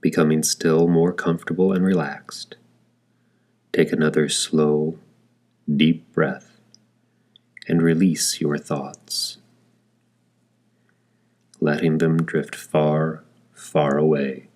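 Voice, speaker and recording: A man leading a guided meditation in calm, gentle scripted narration, with deliberate phrasing and long pauses. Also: rumbling low.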